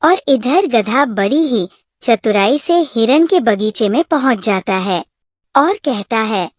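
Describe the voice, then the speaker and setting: A woman's voice: on the high side, expressive, slow, no echo, studio quality. A woman narrating a scripted moral story for a cartoon.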